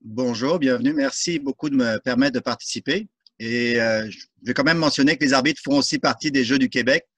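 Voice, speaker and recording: Ref guest, mid voice, dry close mic, explains things step by step. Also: male voice, medium pitch, fairly quick, a bit bright, slightly rough, dry recording. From France. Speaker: guest, soccer referee and volunteer. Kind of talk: interview answers.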